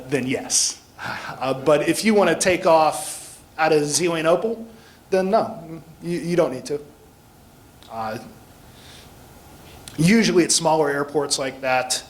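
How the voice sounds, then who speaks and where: American man giving an informal explanatory talk. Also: a little high. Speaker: guest speaker, air traffic control instructor. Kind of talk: informal public talk with audience questions.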